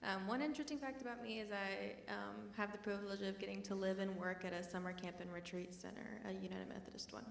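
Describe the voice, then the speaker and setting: A woman in her twenties from Kentucky introducing herself on a panel, softly and with some hesitation. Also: medium pitch, steady tone, fast talker, vocal fry, hardly any pauses, reverberant, big-room sound, a little hiss or hum. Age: 20s.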